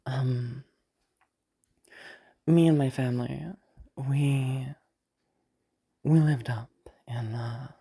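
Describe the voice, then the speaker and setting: A woman acts out a cold, wry female captive in an intimate roleplay monologue. Her voice is very low, dark and full of fry. She muses in a deadpan, hesitant manner, taking her time. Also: exaggerated voice. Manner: hesitant, musing, deadpan, sighing.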